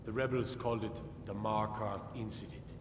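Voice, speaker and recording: An actor playing a proud, impatient lord in a fantasy video game, speaking formally and curtly. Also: male voice, lowish voice, fairly even intonation, moderate pace, close to an everyday voice. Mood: stern, grave. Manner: authoritative, curt, formal. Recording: echoing hall, distant, noisy game capture.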